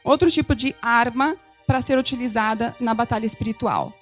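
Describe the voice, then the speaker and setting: A woman's voice: on the high side, fairly quick, soft background music. A woman with a Brazilian accent giving live consecutive interpretation of a sermon, phrase by phrase.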